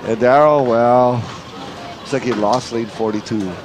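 A man commentates a bowling final in an easygoing, conversational tone. His voice sits at an ordinary middle pitch. Clear clatter and hum from the bowling alley sit behind him. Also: unhurried. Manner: relaxed, chatty play-by-play. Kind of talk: live sports commentary. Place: bowling center.

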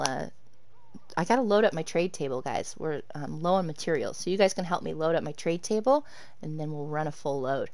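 A woman hosts a live game stream in a relaxed, talkative way and responds to viewers as their messages come in. Her voice sits fairly low, and she breaks into laughter often. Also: no room sound. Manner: chatty, laughs often, answers chat. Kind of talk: casual live-stream chat while gaming.

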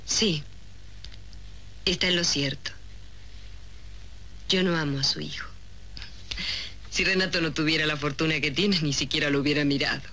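An actress playing an ambitious young woman in a 1970s soap opera, speaking in a deliberate, dramatic way. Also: low voice, fairly even intonation, sharp tone. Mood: cold, calculating. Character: ambitious, cold young woman from a humble neighbourhood. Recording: noisy old 1970s TV soundtrack.

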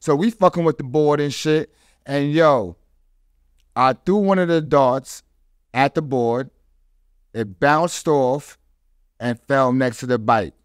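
A man's voice: mid-range voice, slow, pauses often, clear, resonant tone, no echo. A man in his thirties hosting a podcast, telling stories in casual, slangy talk and repeating phrases for emphasis on a close studio mic. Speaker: podcast host. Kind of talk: casual chat, storytelling. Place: small studio with foam panels. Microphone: close studio microphone.

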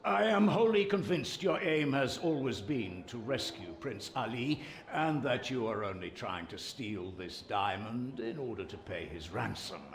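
A stern, crafty royal spymaster for a video game. He speaks in formal, deliberate phrases with a lively, swinging pitch. The voice sits in a roomy echo. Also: background noise. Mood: grave, insistent. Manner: formal, deliberate, measured diction. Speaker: spymaster character.

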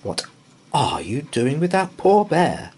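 A man's voice: fairly high pitch, wildly swooping pitch, slow pace, a little mellow, breathy edge, voice pushed up, full character voice. A man voicing a kindly, fretful married woman in a storybook, exclamatory and fussing. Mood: scolding.

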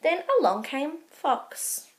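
A woman in her thirties reading a picture book aloud to young children, slow, gentle and expressive, with asides to her listeners. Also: sits high. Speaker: children's story reader. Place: small room with bookshelves, indoors.

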